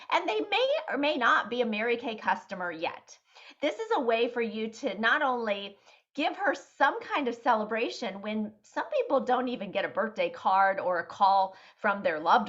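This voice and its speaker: High-voiced woman coaching a sales team. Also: fairly bright tone, muffled recording. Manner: upbeat coaching, explaining step by step. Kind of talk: team meeting presentation.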